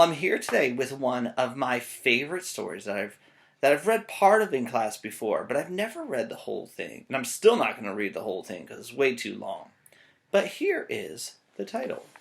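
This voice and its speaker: Very animated man reading to children. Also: mid-range voice, moderate pace, gravelly. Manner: playful, asks listeners questions. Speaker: teacher. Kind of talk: animated children's story read-aloud.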